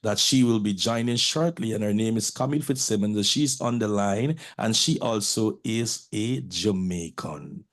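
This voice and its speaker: A man from Guyana moderates a community meeting. He introduces each speaker in a relaxed, informal way with loose grammar. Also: on the low side, moderate pace, hardly any pauses.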